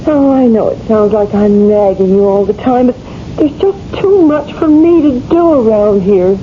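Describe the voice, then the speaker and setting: A woman's voice: mid-range voice, expressive, moderate pace, natural voice. An actress playing a lonely, nagging housewife and mother in a radio drama, fussing and plaintive. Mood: plaintive, resigned. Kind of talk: 1970s radio drama acting. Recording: dull, noisy old radio recording.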